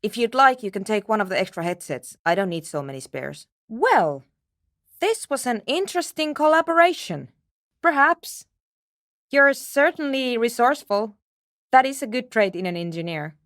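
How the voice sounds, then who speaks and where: A woman's voice: fairly high pitch, very animated, normal speed, fairly bright tone, no echo. A woman playing a frank, pragmatic female engineer in a video game, speaking in a dry, matter-of-fact and precise way. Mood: brisk, businesslike, then reserved, grudging. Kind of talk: scripted video game character dialogue.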